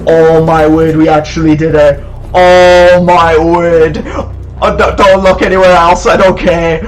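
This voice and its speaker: A man giving live commentary on a horror game, muttering nervously to himself and breaking into excited bursts. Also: fairly high pitch, unhurried, poor audio. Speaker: let's play host.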